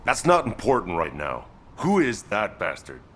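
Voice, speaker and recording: Low male voice, gruff pragmatic commander, blunt orders, lively swings in tone, video game. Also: normal speed, a little hiss or hum. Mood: dry, serious.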